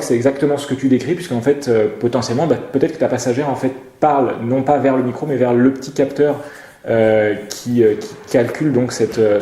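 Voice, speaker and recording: A man's voice: mid-range voice, warm tone, roomy sound. A man presenting a question-and-answer show, explaining technical things in an informal way. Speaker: web show presenter and motorcycle gear retailer. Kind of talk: casual explanatory talk.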